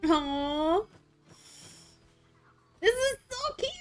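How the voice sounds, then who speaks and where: Woman, streamer, casual gaming chat, excitable, swears, slightly rough throat, soft background music. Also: extremely high pitch, very slow. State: throat a bit rough, voice deeper than usual.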